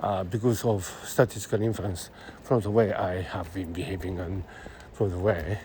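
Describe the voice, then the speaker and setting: A man with a Japanese accent thinking aloud in a halting monologue while walking city streets, speaking into a phone, full of fillers and restarts. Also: low voice, steady tone, a little mellow, slight background noise. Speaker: podcast host. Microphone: phone held close.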